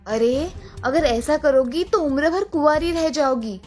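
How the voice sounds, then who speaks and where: A man's voice: very high voice, a little slow, faint music behind, pitched above the performer's own voice. A man voicing a worried middle-aged mother who scolds and frets, for a cartoon. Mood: scolding. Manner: scolding, anxious.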